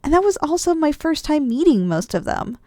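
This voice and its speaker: A woman acts out a drowsy, doting wife in an ASMR roleplay. Her voice is fairly high and swings playfully up and down as she gently teases her partner. The sound is intimate and very dry, with soft sighs and an affectionate manner. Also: very animated, fairly quick, no room sound. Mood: relaxed, musing. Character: affectionate, drowsy, playful wife cuddling after a big dinner. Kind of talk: ASMR roleplay, intimate one-sided dialogue.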